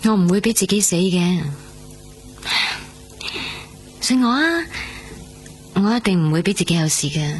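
An actress playing a stubborn but reassuring recovering patient in a radio drama, with a Hong Kong Cantonese accent. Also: female voice, medium pitch, lively intonation, fairly quick, music in the background, close to an everyday voice. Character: soft, stubborn, reassuring young woman recovering from surgery.

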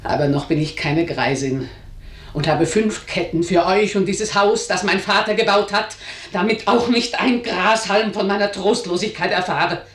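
A woman's voice: mid-range voice, normal speed, recorded from a distance, lightly acted. Imperious matriarch acted by a woman, roomy echo. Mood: stern, cold. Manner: imperious, commanding, declamatory. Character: domineering, ageing matriarch, harsh and commanding tyrant mother. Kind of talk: German radio drama acting. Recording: roomy echo, slightly off-mic.

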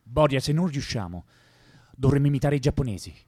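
Young male revolutionary, idealistic, fervent, theatrical radio play, slightly husky, lively pitch. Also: a little fast, dry recording, clean studio sound. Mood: defiant. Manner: impulsive, fervent, earnest. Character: idealistic, passionate young male revolutionary who loves life. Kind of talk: theatrical radio drama acting.

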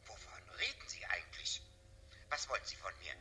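An actor playing a frightened, guarded old professor on the telephone in a German radio drama, speaking in a wary hush. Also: male voice, fairly low pitch, wildly swooping pitch, a little fast, exaggerated voice. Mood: suspicious, fearful. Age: older. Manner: wary, evasive, hushed.

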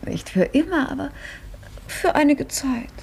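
An actress playing Fatime, a naive and devoted young wife with a soft, yielding manner, in a 1950s radio play. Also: female voice, high-pitched, sing-song, measured pace, gravelly, exaggerated voice, rough recording. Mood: tender.

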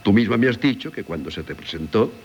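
Deep-voiced, troubled husband. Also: male voice, expressive, fast talker. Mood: patient, reasoning. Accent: Castilian Spanish. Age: middle-aged. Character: troubled middle-aged husband, patient, reasoning, wounded. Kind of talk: 1970s Spanish radio drama acting. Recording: hissy 1970s radio recording.

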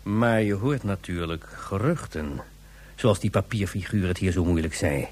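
Casting: gruff desert tribesman for radio drama, dark husky voice, deliberate, talking down to listeners. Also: low voice, expressive. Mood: wry, guarded. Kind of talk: radio play acting.

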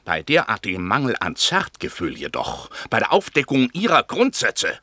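An actor playing a proud, easily offended count in a 1960s German radio play, speaking in a haughty, cutting manner. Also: male voice, lowish voice, huge pitch swings, moderate pace, bright, forceful tone, no echo, heavily acted. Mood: offended, threatening.

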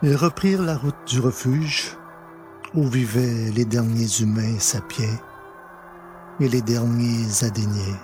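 Slow-paced male story narrator over music. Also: medium pitch, fairly even intonation, darkish tone. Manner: measured, with dramatic pauses. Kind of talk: dramatic scripted story narration.

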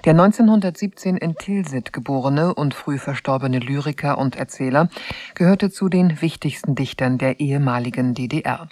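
A man's voice: medium pitch, moderate pace, darkish tone, no room sound. A man reading a scripted, factual introduction to a radio drama in a formal presenter's manner.

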